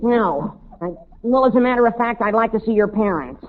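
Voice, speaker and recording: A man playing an earnest, pompous teenage boy suitor in 1940s radio comedy, speaking haltingly. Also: sits high, lively intonation, narrow, tinny audio, slight background noise. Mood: earnest solemn. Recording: thin old radio recording.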